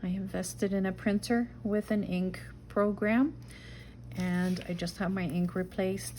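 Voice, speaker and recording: A middle-aged woman from the Netherlands with a Dutch accent, chatting to herself and her viewers while making a journal page, sometimes dropping to a soft whisper. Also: fairly low pitch, a little hiss or hum. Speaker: junk journal crafter. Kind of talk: casual craft-along narration.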